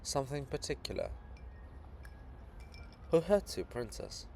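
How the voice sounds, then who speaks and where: Give the voice of a brooding old poet cast as a living monument, acted by a man reading a play. He is solemn and fatherly, weighing each phrase slowly and searchingly. A light noise sits behind the voice. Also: mid-range voice, big pitch swings, gravelly, darkish tone. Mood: grave, knowing.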